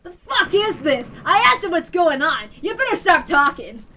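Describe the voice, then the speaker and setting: A woman reading aloud gives voice to a hot-tempered male loan shark who yells and hurls crude threats. His voice sits high and sharp, pushed loud, and it is recorded slightly away from the mic. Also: brisk, far from the mic, a little hiss or hum. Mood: furious.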